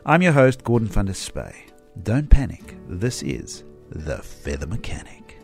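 Male podcast host, animated delivery, punchy intro, faint music bed. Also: lowish voice, a little slow, vocal fry. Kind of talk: scripted intro and interview.

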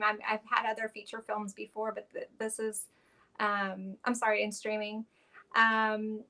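Interview guest, woman, bright and clear voice, heartfelt, stop-start phrasing. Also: on the high side. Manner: earnest, heartfelt, with frequent restarts and fillers. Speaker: actress guest. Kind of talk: casual interview talk.

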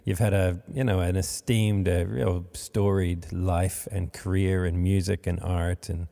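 A man hosting a podcast interview, speaking haltingly with many fillers, recorded in a monastery room. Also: deep voice, level tone, vocal fry, dark tone, long reverb tail. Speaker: podcast host.

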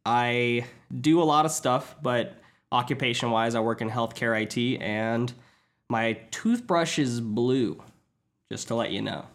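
A man's voice: mid-range voice, unhurried. A man in his twenties from the US South, casually introducing an episode of a friends' podcast with easygoing fillers. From born in Georgia, raised in Tennessee. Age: 20s. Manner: relaxed, with many fillers. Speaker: podcast host.

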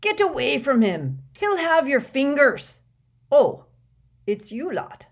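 A woman voicing a huge, gruff, grumpy male groundskeeper in an audiobook, loud and growling, with blustering, sarcastic, rustic speech. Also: lowish voice, big pitch swings, very slow, full character voice. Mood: startled, shouting.

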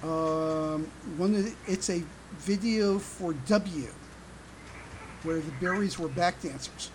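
A podcast host chatting casually about fandom, halting and searching for words on an amateur recording. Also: medium pitch, a little hiss or hum, low-quality recording. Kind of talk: casual chat about pop idol fandom.

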